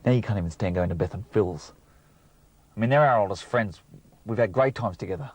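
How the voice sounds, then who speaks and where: Guy acting aussie husband whos fed up with his wife, lowish voice, vehicle noise behind, film drama. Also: fairly quick, a little mellow, close to an everyday voice, rough recording. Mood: exasperated, fed up. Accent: Australian. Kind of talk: acted dramatisation in an educational film.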